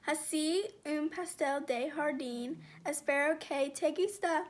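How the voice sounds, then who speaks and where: A young woman playing a male student contestant in a school skit, speaking slow, careful learner's Spanish. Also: high-pitched, heavy background noise. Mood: earnest. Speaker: baking contestant.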